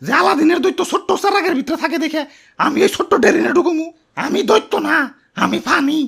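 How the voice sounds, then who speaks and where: A man's voice: very high voice, fast talker, vocal fry, a bit bright, heavily acted. A man voicing a self-pitying, hot-tempered puddle of floodwater in a comic cartoon, whining melodramatically in a Bangladeshi accent. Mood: angry. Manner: whiny, melodramatic complaining.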